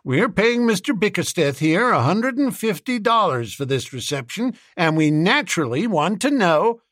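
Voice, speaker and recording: A man's voice: sits high, sing-song, moderate pace, fairly bright tone, no room sound, studio quality, pitched above the performer's own voice, exaggerated voice. A man voicing a businesslike American small-town booster, formal, wordy and emphatic. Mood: firm, businesslike.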